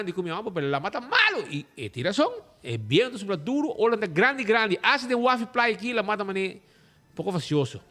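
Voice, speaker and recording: A man with an Aruban accent hosting a radio talk show, chatting loosely, reading ads and switching between languages. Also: sits high. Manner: lively, rambling, mixes languages.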